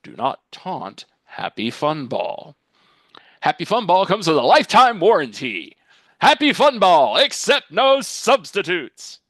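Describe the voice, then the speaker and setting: A man's voice: sits high, big pitch swings, measured pace, a bit bright, dry recording, pitched above the performer's own voice, heavily acted. A man playing an emphatic, mock-serious television commercial announcer for a toy, in a parody read. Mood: triumphant hype. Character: booming enthusiastic toy commercial announcer reading grave disclaimers.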